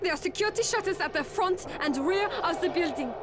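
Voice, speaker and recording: A woman's voice: very high voice, expressive, brisk, heavy background noise. An actress playing a gracious but wary woman who survived a plague, polite and sober, in a video game. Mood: grateful, practical. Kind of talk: video game cutscene acting.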